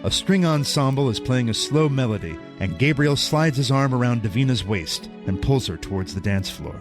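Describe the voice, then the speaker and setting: A man serves as narrator of a scripted audio drama, telling the action in prose. His voice sits at a medium pitch, with faint music behind it. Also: expressive, normal speed.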